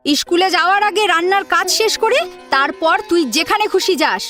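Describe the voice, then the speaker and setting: অভিনেত্রীর কণ্ঠে চড়া গলার কর্তৃত্বপরায়ণ বয়স্কা গৃহকর্ত্রী, পেছনে বাজনা. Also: female voice, very high-pitched, a little fast, bright, forceful tone. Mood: curt, complaining. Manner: commanding, complaining. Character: ageing, domineering matriarch assigning household chores. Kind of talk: Bengali audio drama acting. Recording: music under the voice.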